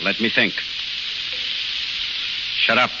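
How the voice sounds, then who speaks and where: An actor playing a hard, curt gangster boss in a 1930s radio drama, giving terse orders. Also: male voice, lowish voice, fairly even intonation, takes it slowly. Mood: curt, commanding. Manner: terse, commanding. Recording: muffled, heavily noisy 1930s radio broadcast.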